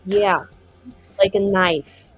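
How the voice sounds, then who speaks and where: A woman voicing a sardonic, defiant young mage in a tabletop game, husky and drawling, with a dismissive, sarcastic manner. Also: medium pitch, steady tone, drawn-out words. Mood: guarded, mocking. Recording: music behind the voice.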